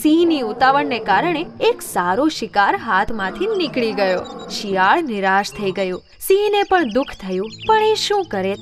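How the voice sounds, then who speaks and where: A woman's voice: on the high side, sing-song, normal speed, hardly any pauses. A woman narrating scripted children's stories as a storyteller. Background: background music.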